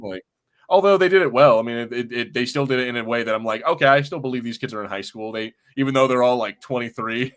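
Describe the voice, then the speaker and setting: Casual, halting man on a podcast. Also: medium pitch. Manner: halting, repeats words, swears casually. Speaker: podcast host. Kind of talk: casual chat, TV discussion.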